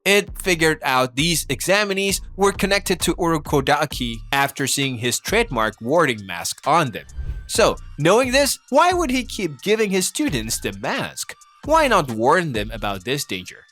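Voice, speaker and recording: A man's voice: on the high side, big pitch swings, moderate pace, fairly bright tone. A man reading scripted video essay narration with rhetorical, mock-exasperated delivery. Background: background music.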